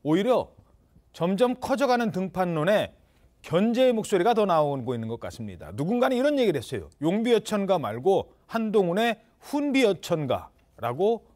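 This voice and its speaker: Lively high-voiced male news host. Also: moderate pace. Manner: conversational, animated questioning. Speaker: news talk show host. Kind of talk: broadcast political talk.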